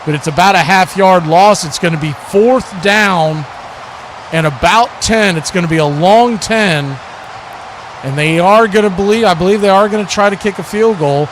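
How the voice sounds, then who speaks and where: Man, American, high-pitched sports play-by-play caller, live stream host, noticeable background noise. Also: normal speed.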